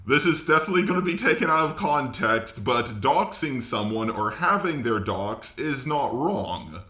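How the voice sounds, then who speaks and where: A man's voice: medium pitch, animated, moderate pace, bright, forceful tone. A man giving casual reaction commentary. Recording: roomy, echoey voice recording.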